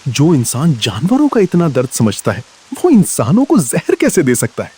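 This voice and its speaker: An actor playing a fair-minded village uncle in a cartoon story, husky and emphatic. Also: male voice, on the high side, huge pitch swings, normal speed, warm tone, exaggerated voice. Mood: earnest defence. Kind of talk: dramatic Hindi moral-story cartoon acting.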